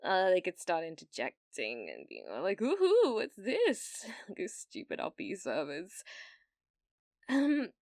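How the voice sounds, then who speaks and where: A British woman playing a needy, awkward young gamer in an ASMR roleplay, with a halting, nervous manner. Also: fairly low pitch, huge pitch swings, darkish tone, no echo, studio quality, heavily acted. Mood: flustered, eager. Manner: halting, flustered, breathy laughs and hesitations.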